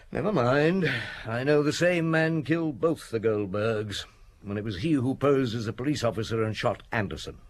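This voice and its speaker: An actor playing a shrewd, relentless chief inspector in a radio detective drama, speaking deliberately with probing questions and dramatic pauses. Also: male voice, medium pitch. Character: shrewd, relentless male French police chief inspector summing up.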